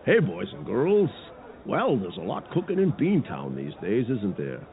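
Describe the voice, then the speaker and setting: A man plays a theatrical, jolly announcer for a children's comedy show. His pitch swings hugely from low to high as he presents. The sound is narrow and phone-like. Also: huge pitch swings, unhurried, soft background music, exaggerated voice. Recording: thin phone-like band.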